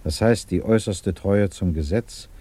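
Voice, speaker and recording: Deep, husky, courteous British investigator. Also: male voice, mellow. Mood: calm, earnest. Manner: formal, deliberate, restrained. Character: measured, courteous British government investigator, patiently probing. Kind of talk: 1950s German radio drama acting.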